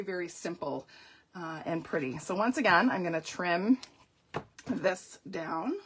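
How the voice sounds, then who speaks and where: A woman hosting a live papercraft tutorial, talking through each step and greeting viewers by name, her voice going a little croaky. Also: medium pitch. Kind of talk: live-stream craft demonstration.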